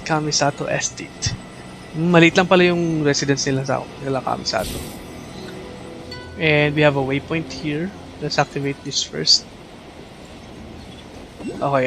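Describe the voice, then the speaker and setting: A man with a Filipino accent giving casual, chatty live game commentary and talking to viewers. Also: on the high side. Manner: chatty, reacts aloud and thanks viewers. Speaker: game livestreamer. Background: game music.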